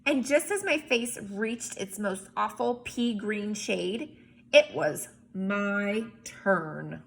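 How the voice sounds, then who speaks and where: A woman reading a storybook aloud in an expressive, emphatic way, with chatty asides as if to young children. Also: medium pitch, takes it slowly, gravelly, faint music behind. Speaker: teacher or reader reading a picture book aloud.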